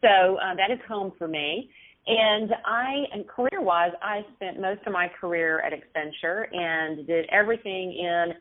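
A woman's voice: lowish voice, a little slow. Texan woman on a tinny phone line. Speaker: author and business owner, guest. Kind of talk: casual interview over the phone.

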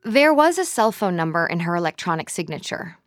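An actress playing a measured, dryly wry documentary podcast narrator, reading scripted investigative narration in a composed, deliberate way. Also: female voice, lowish voice, big pitch swings, dry recording, soft background music. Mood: sober.

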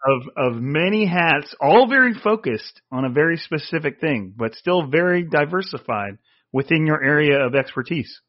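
A man's voice: a little high, lively intonation, no echo. Male podcast host, nasal, rambling casual talk, dry and a bit muffled. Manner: rambling, restarts, quick asides. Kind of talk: casual chat. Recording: dry, slightly dull.

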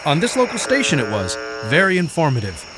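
A man's voice: a little high, huge pitch swings, brisk, music in the background. An actor playing an eager, chatty, awkward underling, deferential to his superior. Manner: deferential, hesitant, rambling. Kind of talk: audio drama acting. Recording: noisy night outdoors ambience, chirping crickets.

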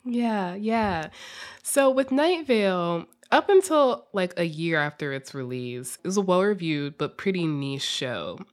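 A woman hosting a podcast in a casual, expressive, reactive chatty style. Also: on the low side, a little slow. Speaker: podcast host. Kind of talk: casual interview chat.

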